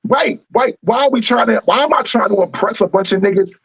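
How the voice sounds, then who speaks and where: A man's voice: brisk, creaky, a bit bright, slightly rough, dry recording. A young adult man with a Baltimore accent, talking animatedly and with heavy profanity as a rapper guest on a podcast. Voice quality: fairly high voice for his size. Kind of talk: casual podcast conversation.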